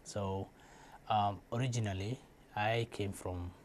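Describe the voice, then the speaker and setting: A man's voice: lowish voice, steady tone, slow pace, a little mellow, a little hiss or hum. A young man from Somalia telling his life story in a hesitant, unscripted way, with many fillers and restarts. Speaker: interviewee. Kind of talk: casual personal account.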